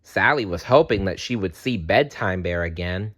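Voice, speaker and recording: A man narrating a children's story aloud in an expressive storytelling style. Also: low voice, moderate pace.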